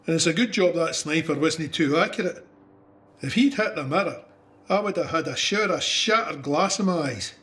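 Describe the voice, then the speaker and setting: A man's voice: fairly high pitch, fairly bright tone. A man playing a young Scottish soldier who recounts trench warfare in a candid, wry, conversational way for an audio drama. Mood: relieved, wry. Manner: conversational storytelling. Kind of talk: first-person audio drama narration.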